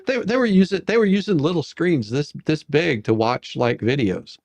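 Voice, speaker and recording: A man's voice: on the high side, sing-song, normal speed, a little mellow, no echo. A man hosting a casual livestream chat, rambling and repeating himself as he talks. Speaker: livestream host.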